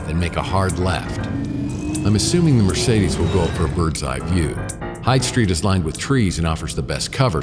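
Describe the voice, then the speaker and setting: A man's voice: low voice, in a large hall. An actor playing a wry, hard-boiled private investigator who narrates his case in a laconic noir radio drama style.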